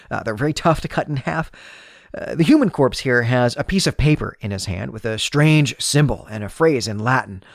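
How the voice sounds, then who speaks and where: A man's voice: medium pitch, no room sound, clean studio sound. A man hosting a podcast, giving book commentary in an explanatory, emphatic way. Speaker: podcast host.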